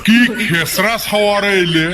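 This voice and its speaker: A man's voice: sits high, unhurried, background noise. A man voicing a grumbling, easily offended village uncle in a comedy cartoon, scolding indignantly in a Rangpuri accent. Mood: irritated, scolding. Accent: Rangpuri, northern Bangladesh. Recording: music behind the voice. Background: music.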